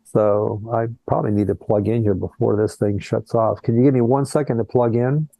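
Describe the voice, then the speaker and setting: A man's voice: on the low side, fairly even intonation, fairly quick, gravelly, dark tone, no echo, rough recording. An older man who is a plastic surgeon, talking earnestly in a conversational interview over a video call and often restarting his words. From born in Colombia, raised in Brazil and the US.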